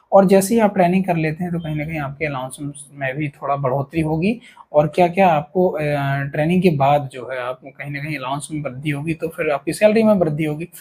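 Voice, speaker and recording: A man's voice: on the high side, a little mellow, breathy edge. A man with an Indian accent presenting an informal explainer to viewers in an instructive way, often checking in with short tags. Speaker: video presenter explaining police pay.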